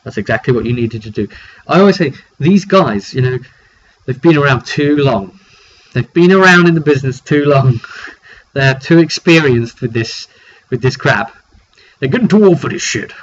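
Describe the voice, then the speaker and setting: A man's voice: mid-range voice, animated, normal speed. British man rambling through a game recap, lo-fi. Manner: chatty and rambling, acting out players' remarks. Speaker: game master recapping a tabletop roleplay session. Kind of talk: casual storytelling monologue. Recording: dull lo-fi mic with faint noise.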